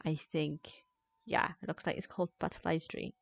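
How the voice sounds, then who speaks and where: A woman's voice: low-pitched, little pitch movement, normal speed, creaky, soft background music. A woman streaming a video game with casual, rambling commentary, half talking to herself. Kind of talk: casual live gameplay commentary. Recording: dry close sound, slightly dull band.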